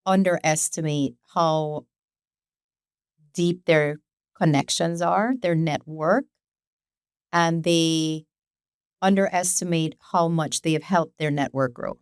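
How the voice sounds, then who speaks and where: Female co-host, low pitch, probing and reflective, dry recording. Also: slow, pauses often, smooth, no room sound. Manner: probing, thinks aloud with fillers. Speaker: podcast co-host. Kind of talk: casual interview chat.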